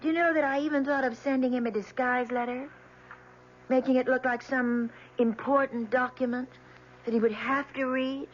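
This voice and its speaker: An actress playing a despondent, bitter, guilt-ridden grown daughter in an old-time radio drama, listless and wounded. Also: female voice, high-pitched, fairly even intonation, normal speed, close to an everyday voice. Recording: dull, noisy radio drama recording with hum.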